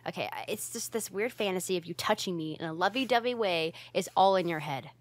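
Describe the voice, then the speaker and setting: A woman playing a bashful, secretly affectionate young tsundere roommate in an ASMR roleplay, speaking in a halting, flustered way with sighs. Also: lowish voice, close to an everyday voice.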